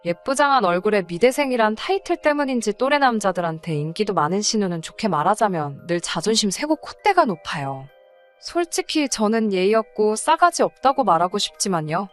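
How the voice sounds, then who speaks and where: A woman reading a scripted first-person personal story as a narrator. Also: medium pitch.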